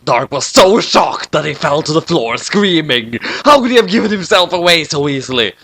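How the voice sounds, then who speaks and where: Animated man reading comic fan fiction. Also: a little high, normal speed, dry recording. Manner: theatrical, exaggerated. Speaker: narrator.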